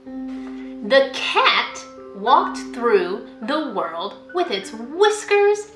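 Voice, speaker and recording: A young woman, a school librarian, reading a picture book aloud to children in an expressive, sing-song way. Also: on the high side, very slow, echoey room, over music. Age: young adult. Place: indoor room at home, by a window.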